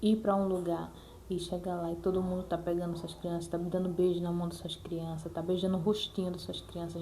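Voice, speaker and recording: A woman's voice: fairly low pitch, warm tone, a little hiss or hum, low-quality recording. A Brazilian woman talking casually and earnestly to viewers as a mother, repeating herself and adding frequent check-in tags. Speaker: mother sharing her experience in a vlog. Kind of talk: casual personal talk to viewers.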